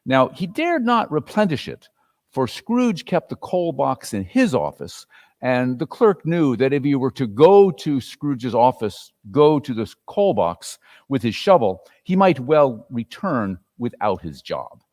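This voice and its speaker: A man's voice: medium pitch, sing-song, unhurried, darkish tone. A man narrating a classic story as a dramatic reading, with a theatrical, emphatic delivery. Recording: reverberant hall, live reading.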